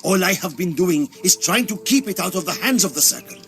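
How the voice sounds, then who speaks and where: High-pitched, defiant Turkish warrior. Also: male voice, lively intonation, a little fast. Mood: urgent persuasion. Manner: grave, insistent. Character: earnest, defiant Turkish male warrior named Sahin. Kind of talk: video game cutscene acting. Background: faint music.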